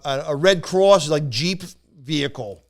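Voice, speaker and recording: A man's voice: on the high side, lively intonation, unhurried, slight background noise. A man telling a casual anecdote on a podcast, rambling, stammering and repeating himself as he goes. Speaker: storyteller on a podcast.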